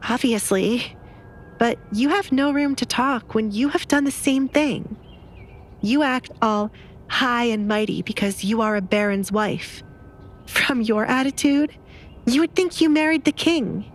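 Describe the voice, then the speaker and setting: A woman's voice: a little high, unhurried, pitched above the performer's own voice, natural voice. A woman voicing a bitter, defiant young noblewoman in an audio drama, blunt and sarcastic, with light background noise.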